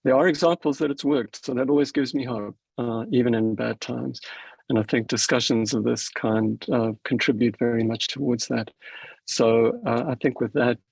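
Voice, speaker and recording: A middle-aged man from South Africa, moderating a panel and closing it with his own halting remarks full of ums and restarts. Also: mid-range voice, steady tone, gravelly, slightly rough, no room sound, rough recording. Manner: hesitant, many fillers and restarts.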